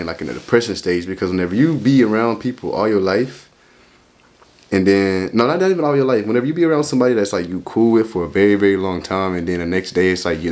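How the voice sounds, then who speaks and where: A young man in his twenties reacting to a video, in casual, rambling, slangy talk that keeps asking "you feel me?". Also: low-pitched. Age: 20s.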